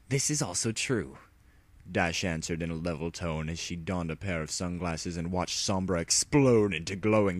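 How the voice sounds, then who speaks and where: A man's voice: low-pitched, darkish tone, dry recording. A man narrating fan fiction in a mock-serious, theatrical audiobook style.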